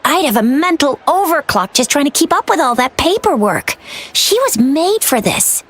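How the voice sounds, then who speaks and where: A woman's voice: high voice, sing-song, moderate pace, a bit bright. A woman voicing a cheerful, playful young woman in a video game, with a casual, slangy, enthusiastic way of talking. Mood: admiring, breezy. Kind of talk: video game character dialogue.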